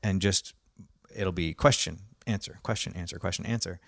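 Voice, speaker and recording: Deep gravelly man, laid-back and long-winded. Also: normal speed, no echo, clean studio sound. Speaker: podcast host and photographer. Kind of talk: casual conversation.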